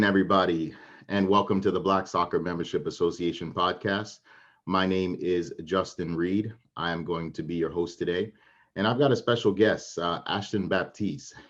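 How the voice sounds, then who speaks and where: A man hosting a podcast, introducing a guest and asking questions in a friendly, measured way. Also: low-pitched, lo-fi sound. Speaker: podcast host.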